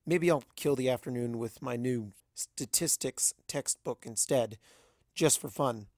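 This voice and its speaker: A man's voice: medium pitch, unhurried, darkish tone, dry recording, studio quality. A man reading scripted first-person story narration as an audiobook narrator.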